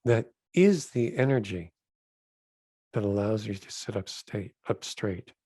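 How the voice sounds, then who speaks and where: A man leading a guided meditation as a rabbi, phrasing each instruction gently and deliberately, with pauses between short phrases. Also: lowish voice, big pitch swings, slightly rough, no echo, studio quality.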